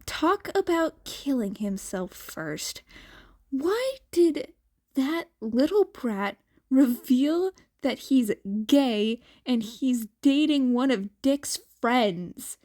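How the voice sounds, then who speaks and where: A woman's voice: high-pitched, animated, very slow, a little mellow. A woman reading fan fiction aloud as an amateur narrator, in an informal, colloquial way. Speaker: fan fiction podfic reader. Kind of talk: amateur story narration with character voices.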